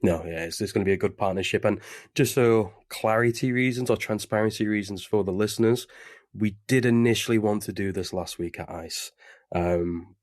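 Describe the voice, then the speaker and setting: A man's voice: fairly low pitch, moderate pace, a little mellow, no room sound, studio quality. A man hosting a casual podcast interview over a webcam call, chatty and loose with plenty of fillers. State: recovering from covid. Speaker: podcast host. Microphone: remote webcam call.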